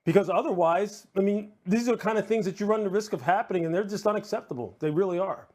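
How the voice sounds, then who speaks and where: A man's voice: high-pitched, quick pace, darkish tone. A man giving earnest, discursive sports analysis on a TV panel, repeating phrases for emphasis as he thinks aloud. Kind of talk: unscripted TV panel commentary.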